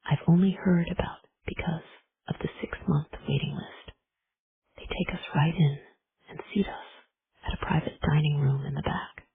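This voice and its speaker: A woman narrating a first-person romance audiobook in a hushed, intimate whisper. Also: low voice, steady tone, moderate pace, vocal fry. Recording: tinny phone-like recording.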